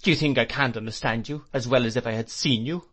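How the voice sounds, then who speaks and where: A man's voice: mid-range voice, quick pace, fairly bright tone, close to an everyday voice. A man reading an audiobook, voicing a fierce and outspoken elderly aunt who scolds emphatically. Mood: scornful.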